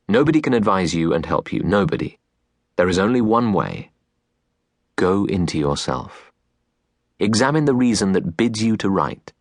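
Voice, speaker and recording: Male audiobook narrator, lowish register, earnest and deliberate literary read, dry close studio sound. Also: dry recording. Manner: measured, earnest, deliberate phrasing. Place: recording studio.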